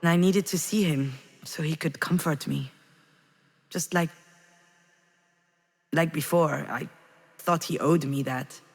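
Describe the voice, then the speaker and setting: A woman's voice: low voice, slow pace, long pauses, husky, full character voice. An actress playing a melancholy, regretful woman in a video game, confiding hesitantly in an introspective monologue. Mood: bitter, wistful. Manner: hesitant, confessional, reflective. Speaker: video game protagonist narrating her memories.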